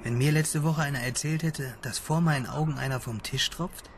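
A man's voice: fairly high pitch, moderate pace, slight background noise, close to an everyday voice. A man playing a hesitant, slightly shaken witness, talking casually in a radio drama. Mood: wry, uneasy. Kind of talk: conversational radio drama dialogue.

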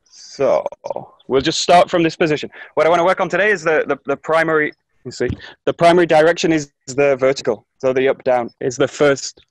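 A man in his thirties teaching an online aikido class, giving calm, short coaching cues outdoors in a grassy orchard, recorded on a camera mic as he moves nearer and farther. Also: on the high side, fairly quick, dry recording, rough recording. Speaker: aikido instructor. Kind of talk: live online class instruction. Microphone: camera mic, distance varies as he moves.

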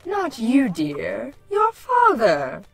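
Woman as a slow, haughty changeling queen. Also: fairly high pitch, huge pitch swings, gravelly, faint music behind, exaggerated voice. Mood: doting condescension. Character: doting, haughty adult changeling queen villainess. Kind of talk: fan fiction audio drama acting.

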